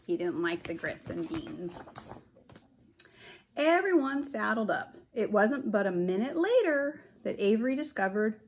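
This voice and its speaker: A woman's voice: medium pitch, big pitch swings, measured pace, vocal fry, a little hiss or hum. A woman kindergarten teacher reading a storybook aloud, explaining things simply for young children.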